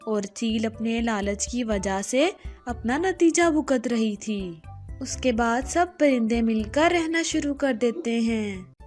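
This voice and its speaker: A woman's voice: fairly high pitch, slow, a little mellow, soft background music. A woman reading scripted narration for a children's cartoon fable. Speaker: story narrator.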